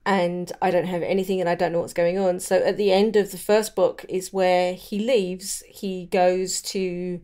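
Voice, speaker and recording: A woman's voice: lowish voice, dark tone. A woman, co-hosting a podcast in a casual book discussion. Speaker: podcast co-host.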